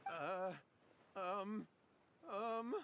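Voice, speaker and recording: A man's voice: high voice, extremely slow, noisy background, heavily acted. A man playing a nervous, cowardly young schemer in an anime game, anxious and prone to stammering. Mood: flustered. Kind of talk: anime video game voice acting. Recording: music behind the voice.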